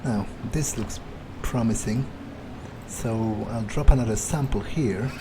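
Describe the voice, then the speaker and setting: A man playing a wary, foul-mouthed vampire in an improvised video game roleplay, thinking aloud in halting, muttered asides. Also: on the low side, over music, poor audio. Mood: musing. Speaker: gamer in an in-character playthrough.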